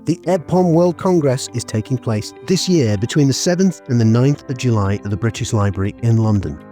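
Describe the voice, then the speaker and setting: Mellow male announcer over music. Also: medium pitch, brisk. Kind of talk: scripted promo announcement.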